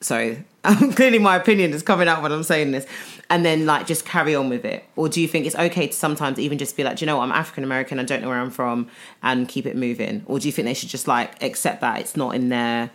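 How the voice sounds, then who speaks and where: A young British woman co-hosting a podcast in casual, unfiltered chat, expressive and slangy. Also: very deep voice, fast. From United Kingdom. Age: young adult. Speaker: podcast co-host.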